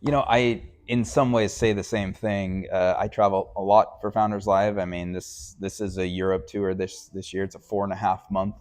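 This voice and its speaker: A man's voice: sits low, flat delivery, normal speed, hardly any pauses, darkish tone. A man interviewing a guest as show host, talking in a chatty, conversational way and repeating himself for emphasis.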